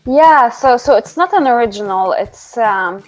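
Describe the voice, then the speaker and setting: Young canadian woman, chatty but halting, lots of ums, kinda dull lo-fi sound. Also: fairly high pitch, animated, soft background music. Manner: hesitant, many fillers and restarts. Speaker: singer-songwriter guest. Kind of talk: casual interview chat. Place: home, remote call. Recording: slightly dull lo-fi audio.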